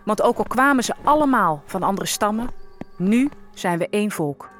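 A woman's voice: medium pitch, lively intonation, moderate pace, soft background music, lightly acted. An actress playing a sorrowful enslaved African woman in a solemn, reflective audio drama monologue. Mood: solemn grief.

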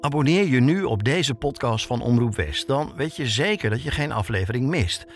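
A man's voice: medium pitch, expressive, moderate pace. A man hosting a podcast, addressing listeners directly in a scripted sign-off. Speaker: podcast host, reporter. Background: music.

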